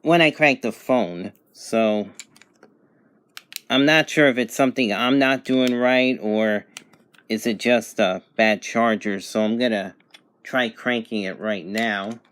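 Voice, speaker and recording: A man's voice: lowish voice, takes it slowly, a bit bright, clear, resonant tone. A man giving a casual, unscripted product review in a plain-spoken, matter-of-fact way. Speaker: radio reviewer.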